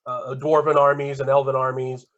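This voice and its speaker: A man in his fifties, a guest author, explaining lore in a measured, hesitant way with many fillers. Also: medium pitch, level tone, lo-fi sound. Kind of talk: casual chat, explaining lore.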